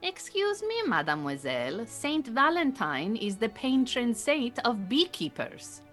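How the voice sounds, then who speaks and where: A woman acting a calm, exacting Iranian refugee beekeeper in an audio drama, speaking in a measured, dry and formal way. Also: a little high, soft background music. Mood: playful.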